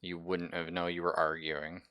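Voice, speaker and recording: A man's voice: low voice, monotone, moderate pace, pushed and bright, clean tone, dry recording. A man improvising narration as game master of a tabletop roleplaying game, conversational and playful, on a close mic. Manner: conversational, playful, swears casually.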